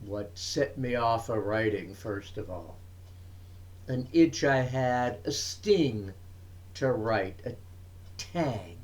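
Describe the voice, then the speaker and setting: A man giving a dramatic reading of verse, in measured, deliberate phrases broken by pauses. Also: medium pitch, expressive. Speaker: reader of poetry.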